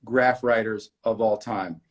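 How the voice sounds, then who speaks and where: A man's voice: fairly low pitch, steady tone, measured pace. A man hosting an interview, talking enthusiastically and heaping praise on his guest. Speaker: host. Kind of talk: casual interview.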